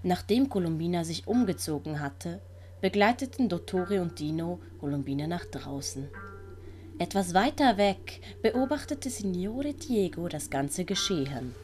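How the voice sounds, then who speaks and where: A woman's voice: on the low side, lively intonation, a little slow, darkish tone. A woman reading scripted audiobook narration as the storyteller. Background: music.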